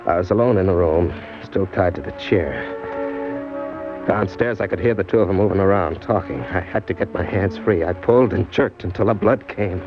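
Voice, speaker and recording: Radio noir lead: hard-boiled, broke drifter, very low voice, wry and slangy, muffled old broadcast sound. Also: male voice, moderate pace. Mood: grim narration. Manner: tough, slangy, wisecracking. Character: hard-boiled broke drifter narrating his crime story. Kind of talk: old-time radio crime drama acting. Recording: dull old radio recording with music.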